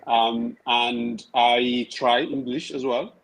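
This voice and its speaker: A man in his thirties who grew up in Tigray and speaks Tigrinya as his first language. He talks as an interview guest in a measured, hesitant way, with many fillers and restarts. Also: mid-range voice, little pitch movement, fairly bright tone, low-quality recording. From Tigray, Ethiopia. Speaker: guest, writer and PhD researcher. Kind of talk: casual interview conversation.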